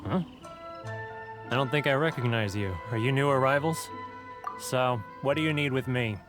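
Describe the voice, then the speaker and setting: A man's voice: medium pitch, normal speed, music in the background, natural voice. An actor playing a rude, greedy male vendor in a video game, scornful, brusque and mocking. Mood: suspicious. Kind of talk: video game cutscene acting.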